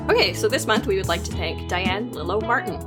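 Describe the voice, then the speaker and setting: A woman hosting a podcast, upbeat and quick to laugh in casual chat. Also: medium pitch, animated, loud music behind the voice. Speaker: podcast host.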